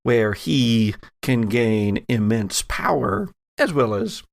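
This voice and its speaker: Gravelly, theatrical man hosting a game podcast. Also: mid-range voice, animated, takes it slowly, no room sound, studio quality. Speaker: podcast host and game master. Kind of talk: hosting and narrating an actual play audio drama.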